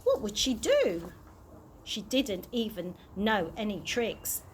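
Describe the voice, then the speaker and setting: A woman's voice: mid-range voice, wildly swooping pitch, slow, slight background noise. A woman reading a children's story aloud expressively, occasionally stumbling over words and correcting herself. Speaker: early years practitioner reading aloud.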